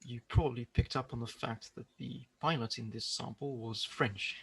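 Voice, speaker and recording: A man giving a prepared research presentation in a measured, explanatory way. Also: medium pitch, steady tone, background noise.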